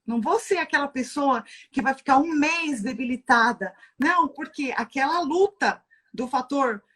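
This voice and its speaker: Brazilian woman, high voice, teacherly, poses questions to herself and answers them. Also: normal speed, fairly bright tone. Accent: Brazilian Portuguese. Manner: teacherly, asks herself rhetorical questions, tags sentences with "né?". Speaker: guest lecturer on Chinese medicine. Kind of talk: explanatory talk from a livestream.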